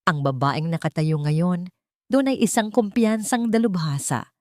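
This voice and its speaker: A woman with a Filipino accent reads a scripted dramatic story as an audiobook narrator, in an earnest, measured way. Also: on the low side, a little mellow, no room sound, clean studio sound.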